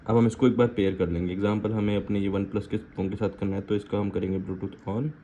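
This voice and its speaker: A man's voice: lowish voice, little pitch movement, brisk, mellow. An Indian man giving a casual product walkthrough in an informal way, slipping between Hindi and English phrases. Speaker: vlogger reviewing gear. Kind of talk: casual unboxing and installation walkthrough. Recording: muffled lo-fi audio with faint background noise.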